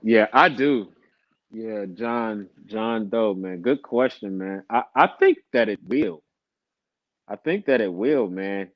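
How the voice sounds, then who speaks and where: A man's voice: fairly low pitch, takes it slowly, no echo. A man chatting casually as a livestream guest, folksy and colloquial, repeating phrases as he goes, on slightly lo-fi audio. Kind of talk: casual chat.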